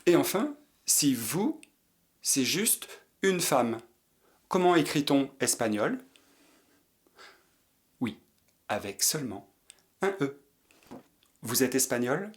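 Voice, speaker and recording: Slow, patient male French teacher. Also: on the high side, sing-song. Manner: patient, repeats each example for students. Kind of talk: slow French lesson for learners.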